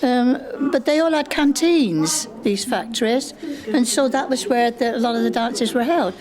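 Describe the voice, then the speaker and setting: A woman's voice: fairly high pitch, moderate pace. An elderly woman with a British accent, casually looking back on her youth. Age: older. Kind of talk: casual wartime reminiscing. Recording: room with clear background chatter.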